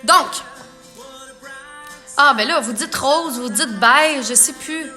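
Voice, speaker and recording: Brief: chatty Quebec woman, high and bright, live makeup demo, faint music behind. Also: slow. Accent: Quebec French. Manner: chatty, playful, reads viewer comments aloud. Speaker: makeup artist.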